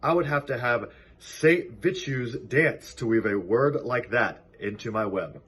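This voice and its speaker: A man voicing a bossy, clever female spider in a storybook, brisk and articulate. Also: medium pitch, a bit bright, slightly rough. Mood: exasperated. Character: bossy, dry, clever female spider leading barn animals.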